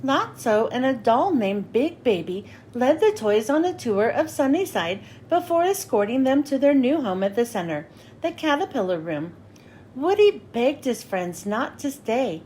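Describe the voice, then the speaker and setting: A woman narrating a children's storybook as a read-aloud host, with expressive, clearly phrased delivery. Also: a little high, a little slow, a little hiss or hum.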